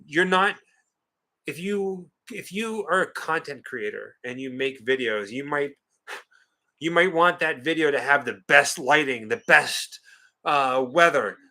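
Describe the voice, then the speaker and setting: An American man in his forties chats playfully on a livestream, reading the chat out loud. His voice has a lively, swinging pitch and a bright, forceful edge. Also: unhurried. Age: 40s. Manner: playful, joking, reads chat aloud. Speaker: co-host of a livestream watch party.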